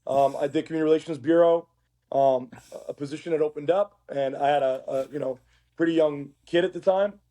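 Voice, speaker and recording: Raspy, higher-pitched man telling stories casually. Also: steady tone, brisk, warm tone. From Uruguay. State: hoarse from work. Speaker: podcast guest, police academy instructor. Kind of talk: casual conversational storytelling.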